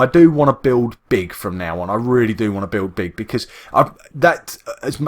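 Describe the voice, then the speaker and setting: A man with an East London accent, chatting casually and rambling with lots of restarts while he plays a game. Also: mid-range voice. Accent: East London English. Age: adult, works full time. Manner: rambling, chatty, with frequent restarts and self-interruptions. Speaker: Minecraft YouTuber. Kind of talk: casual gameplay commentary.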